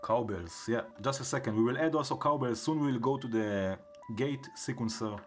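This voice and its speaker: A man with an Israeli accent, hosting a live stream and thinking aloud as he builds synth patches, with filler words and pauses. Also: medium pitch. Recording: music playing under the voice.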